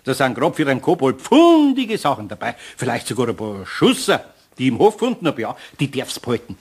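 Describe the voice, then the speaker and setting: An actor playing an older Bavarian craftsman in a children's radio play, gruff but good-natured, homely and admonishing, with a husky voice and Bavarian accent. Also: medium pitch, wildly swooping pitch, fairly quick, heavily acted. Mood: coaxing.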